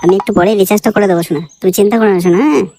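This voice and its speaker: A woman playing a crude, lovesick, hot-tempered young son in a comic cartoon, ranting quickly. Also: mid-range voice, mellow, faint music behind, natural voice. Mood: sweet-talking. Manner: fast, ranting, crude swearing.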